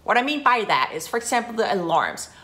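A woman in her forties from Denmark, a guest talking casually to camera in her living room, friendly and animated. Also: on the high side, fairly quick. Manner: friendly, chatty, animated with hand gestures. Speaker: guest, founder of a website.

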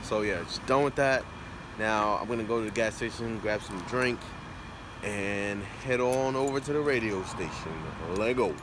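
A man's voice: on the low side, normal speed. A man in his thirties chatting casually as a vlogger, recorded on a phone held at arm's length. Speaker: vlogger, photographer. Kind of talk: casual vlog chat. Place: outdoors and inside a car. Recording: noisy street and car ambience.

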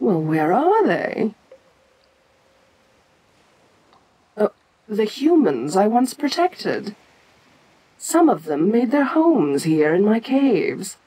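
A woman playing an ancient, domineering giantess kaiju queen in an audio roleplay, imperious and teasing yet protective, speaking with deliberate pauses. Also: mid-range voice, big pitch swings, dark tone, breathy edge, exaggerated voice, low-quality recording. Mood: regal, wistful. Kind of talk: second-person audio roleplay acting.

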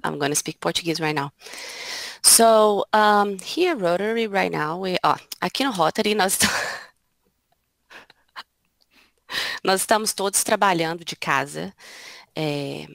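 Panelist, female, 30s, Brazilian accent. Low pitch, noticeable vocal fry, informal presentation over a headset. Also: measured pace, long pauses, fairly bright tone, no room sound. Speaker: panelist, organization representative. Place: indoor room at home. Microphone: headset on a video call.

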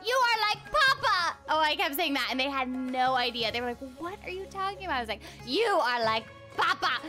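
High, bright, animated young woman streamer. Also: a little fast, faint music behind. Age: young adult. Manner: playful, exuberant, theatrical. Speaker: streamer and voice actor. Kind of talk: casual livestream chat with acted-out quotes. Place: small indoor room, streaming over a game.

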